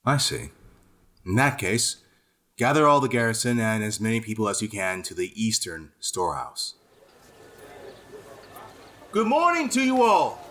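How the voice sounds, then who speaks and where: Bright-voiced, courtly young lord. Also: male voice, fairly low pitch, huge pitch swings, a little hiss or hum, exaggerated voice. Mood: cool, measured. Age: young adult. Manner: formal, deliberate, oratorical. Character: smooth, courtly young nobleman, self-assured and measured. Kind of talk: fantasy audio drama acting.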